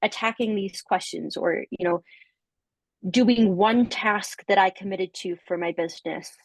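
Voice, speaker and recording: A young woman talks through her coaching experience on what sounds like a video call. She speaks in halting bursts with plenty of fillers and restarts and laughs now and then. Her voice sits in a middle range, and the recording sounds dry and close. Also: medium pitch, vocal fry, no room sound, rough recording. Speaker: coaching client giving a testimonial. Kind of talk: casual reflective conversation.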